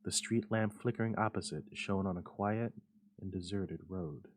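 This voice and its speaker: A man with a lowish voice narrates a Gothic tale. He reads in a dramatic, scripted storytelling style, theatrical and full of suspense. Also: moderate pace. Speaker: library staff storyteller.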